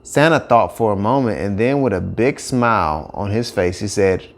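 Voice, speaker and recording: A man narrating a scripted children's story with expressive, emphatic phrasing. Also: on the low side, normal speed.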